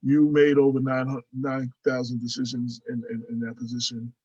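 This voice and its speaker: A man hosting a radio talk show, asking interview questions and reading listener comments aloud in a halting way. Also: medium pitch, monotone, darkish tone, husky, dry recording. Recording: dry, dull lo-fi radio sound.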